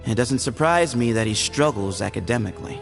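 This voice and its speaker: A man voicing a sly, playful young man in a video game, teasing and evasive, with a relaxed manner. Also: mid-range voice, a little fast, creaky, over loud music. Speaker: game character.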